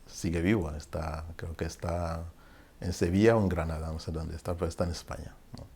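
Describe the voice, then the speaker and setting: Deep-voiced Rwandan priest telling his story. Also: fairly even intonation, darkish tone, breathy edge. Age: 40s. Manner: reflective storytelling, pauses to search for words. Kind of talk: interview guest recounting his life.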